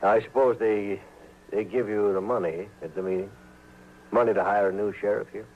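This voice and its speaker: A man's voice: fairly low pitch, steady tone, normal speed, close to an everyday voice. Male actor as crooked frontier sheriff, folksy menace, dull and faintly hissy old radio recording. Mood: wheedling. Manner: folksy, slyly threatening. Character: corrupt, smooth-talking, menacing frontier sheriff. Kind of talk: old-time radio western acting.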